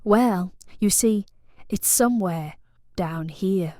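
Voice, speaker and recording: A woman's voice: mid-range voice, slow, a little mellow, dry recording, clean studio sound, close to an everyday voice. A woman voicing a posh British lady of the house, coy and seductive, lingering suggestively over her words in an audio play.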